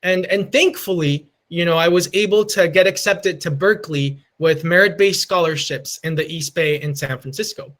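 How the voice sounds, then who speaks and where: A man's voice: a little high, normal speed, few pauses, a bit bright. A man in his twenties from Peru with a Peruvian Spanish accent, giving an earnest motivational talk and slipping Spanish phrases into his storytelling. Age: 20s. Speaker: speaker giving a lightning talk.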